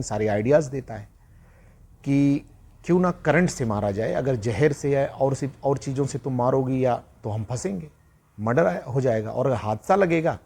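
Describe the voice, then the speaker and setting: Slightly husky man telling true-crime stories. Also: medium pitch, warm tone. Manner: repeats phrases for emphasis, addresses listeners directly. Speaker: crime story narrator. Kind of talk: conversational true-crime storytelling, moralizing.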